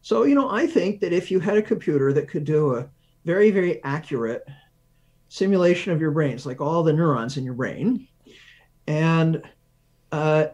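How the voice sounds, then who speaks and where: Male interview guest, scientist type, slightly high voice, explains step by step with analogies and hesitant pauses. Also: expressive, a little mellow, dull audio. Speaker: guest research physicist.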